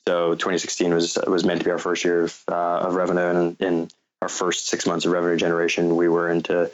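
A low-voiced American man speaks as an interview guest. His delivery stays very even and measured, with hesitant restarts as he explains things. The sound is dull and a bit lo-fi, like a call. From North Carolina, United States. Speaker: guest, fintech company founder. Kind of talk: interview answers, explaining business.

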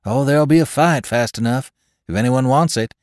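A man's voice: mid-range voice, brisk, darkish tone, no room sound, clean studio sound, pitched above the performer's own voice, lightly acted. A man voicing an urgent young man bringing a warning in a storybook reading, speaking emphatically.

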